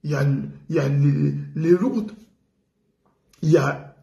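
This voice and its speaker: A man from the Congo delivers an emphatic, indignant radio commentary. His voice is warm and dark and sits a little higher than most men's. The room around him rings with echo. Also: slow, vocal fry. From Republic of the Congo. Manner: indignant, emphatic, repeats for stress. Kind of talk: political commentary monologue. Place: living in France.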